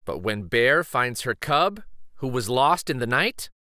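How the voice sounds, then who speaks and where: A man in his forties reading a children's picture book aloud in an expressive, gentle storytelling way. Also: mid-range voice, measured pace, dry recording, clean studio sound. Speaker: children's story reader and host.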